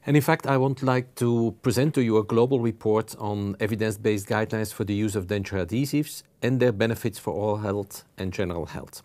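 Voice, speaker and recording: A man from Belgium with a Flemish accent, a native Flemish speaker, giving a webinar presentation slowly and carefully, with frequent pauses and fillers. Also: lowish voice, fairly even intonation, darkish tone. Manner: slow, careful, halting with many fillers. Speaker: presenting dental researcher.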